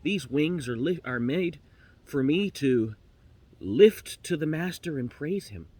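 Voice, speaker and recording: A man's voice: fairly high pitch, lively intonation, slow, a little mellow, slightly rough, no echo, exaggerated voice. A man voicing a timid, sheltered female pet canary in a storybook tale, stammering, flustered and devout. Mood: earnest, defensive.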